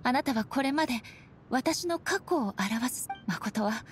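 A woman's voice: on the high side, animated, unhurried, a little hiss or hum. An actress playing a resolute, reflective female deity and swordswoman in a Japanese game, with polite, formal, earnest speech. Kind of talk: Japanese anime game acting. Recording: faint game music behind the voice.

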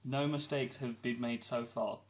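A man's voice: mid-range voice, steady tone, measured pace. A young man with a Midlands English accent, chatting playfully while cooking at home. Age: young adult. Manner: playful, bursts into laughter. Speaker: cooking vlog co-host. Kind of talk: casual jokey cooking chat. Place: small home kitchen. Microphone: camera mic on the counter. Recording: noisy home video.

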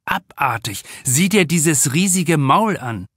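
A man's voice: on the high side, animated, slow pace, a bit bright, breathy edge, no room sound, clean studio sound, heavily acted. A man voicing an urgent, blunt young male lifeguard in an audiobook. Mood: alarmed. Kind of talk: audiobook character dialogue.

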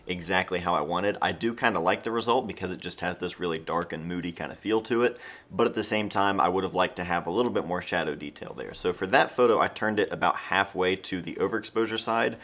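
A man's voice: deep voice, quick pace, fairly bright tone. An American man in his thirties talking casually and conversationally to camera, explaining things in a laid-back way as a vlog host. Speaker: vlog host and film photographer.

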